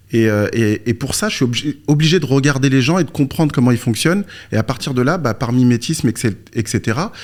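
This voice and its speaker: A man's voice: mid-range voice, a little mellow. A man from France, born in Morocco, speaking as an interview guest and coach, explaining informally through anecdotes and rhetorical questions. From born in Morocco, moved to France aged three or four. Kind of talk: conversational explanation with personal anecdotes.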